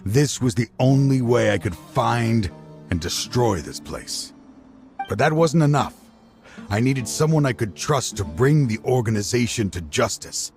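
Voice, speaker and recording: An actor playing a dying older scholar in a video game, grave, weary and resolute. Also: male voice, close to an everyday voice. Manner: grave, weary, low-spoken. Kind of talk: video game cutscene voice acting. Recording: game dialogue over loud score.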